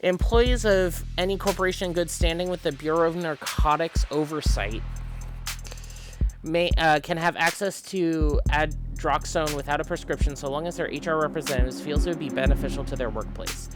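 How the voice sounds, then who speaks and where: Animated streamer with music behind. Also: medium pitch, normal speed. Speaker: streamer hosting a visual novel playthrough. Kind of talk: casual livestream commentary mixed with reading game text aloud.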